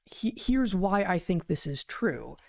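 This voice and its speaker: A woman giving deliberate, articulate conversational commentary as a podcast co-host, pausing mid-sentence. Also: lowish voice, big pitch swings, creaky. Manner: deliberate, articulate, hesitant pauses mid-sentence, emphatic word choices. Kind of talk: conversational commentary on news, reading out quotes.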